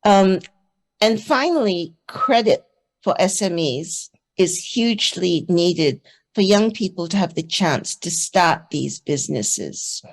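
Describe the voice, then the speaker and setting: A woman's voice: on the low side, animated, slow. A woman from Singapore speaking on a conference panel in an earnest, persuasive manner.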